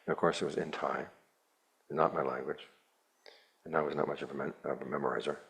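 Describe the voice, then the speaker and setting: A man with an extremely low, gravelly voice gives a dharma talk as a Buddhist monk teacher. His tone is very husky and breathy. He speaks plainly and at a measured pace, with a dry, wry edge. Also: steady tone, a bit bright. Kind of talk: dharma talk to a retreat group.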